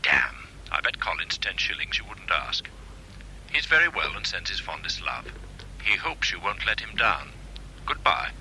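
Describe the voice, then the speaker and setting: A British actor in a radio drama playing a smooth, menacing blackmailer, mock-polite and precise, heard over a telephone. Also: male voice, low voice, sing-song, moderate pace, exaggerated voice. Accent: British English. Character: smooth, menacing male blackmailer, coolly mocking. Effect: voice on a telephone line. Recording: sharp telephone sound, faint noise.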